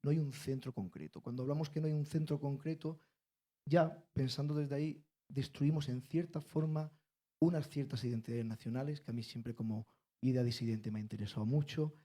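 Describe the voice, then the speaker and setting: A man with a warm, mellow voice of middle pitch speaks at a public panel. His talk is intellectual and lecture-like, and he builds his arguments through emphatic repetition. He comes from the world of flamenco. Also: fairly even intonation, fairly quick.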